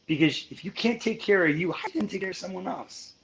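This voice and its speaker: A man talking on a podcast as a guest, laying out money advice in an emphatic, explanatory way and slowing down to stress his points. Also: high voice, vocal fry, fairly bright tone, a little hiss or hum, poor audio. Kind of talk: casual podcast chat.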